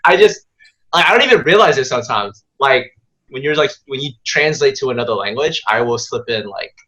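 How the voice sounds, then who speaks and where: A man's voice: mid-range voice, animated, brisk, a bit bright, poor audio. A man chatting casually among friends, laid-back and calling people "bro". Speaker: podcast guest. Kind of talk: casual chat.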